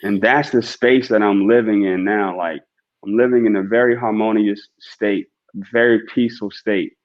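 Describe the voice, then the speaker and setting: Earnest low-voiced man, muffled audio. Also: steady tone, moderate pace. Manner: earnest, preacher-like, frequent "right?" tag questions. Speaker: podcast guest. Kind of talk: reflective podcast conversation.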